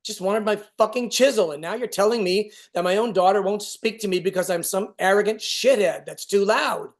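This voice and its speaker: A man's voice: sits high, fairly quick, natural voice. An actor playing an irritable, defensive father in a staged reading of a play, agitated and argumentative. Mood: defensive anger. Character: irritable, foul-mouthed father, wounded and defensive.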